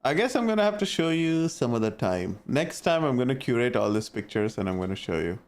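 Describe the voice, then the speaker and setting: A man's voice: medium pitch, sing-song, a little fast. A young man from India with an Indian accent, chatting casually with his live-stream viewers and reacting to their messages.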